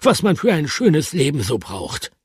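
A man voicing a gentle, wistful male pet hamster who speaks with a lisp, as in a storybook read aloud. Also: on the high side, huge pitch swings, normal speed, husky, no echo, studio quality, pitched above the performer's own voice, full character voice. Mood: defensive. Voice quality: lisping.

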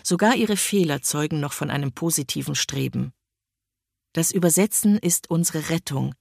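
A woman reading a first-person essay aloud in a measured, reflective way, close to a studio mic. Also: sits low, creaky, a little mellow, no echo. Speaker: reader of an essay.

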